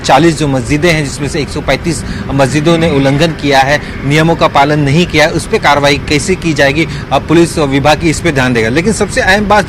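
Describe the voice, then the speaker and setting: A man reporting live as a field news correspondent, hesitant and wordy, leaning on stock phrases. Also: on the high side. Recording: clear outdoor traffic noise.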